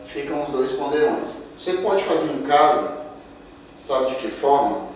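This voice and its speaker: A man leads a percussion lesson, naming each rhythm and counting beats aloud as he plays. His voice sits back from the mic in a strongly echoing room. Hand drums sound clearly behind him. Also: mid-range voice, lively intonation, unhurried, loud music behind the voice. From Maranhão, Brazil. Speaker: percussion workshop teacher. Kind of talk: instructional demonstration. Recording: echoey room, mic at a distance. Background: percussion instruments being played.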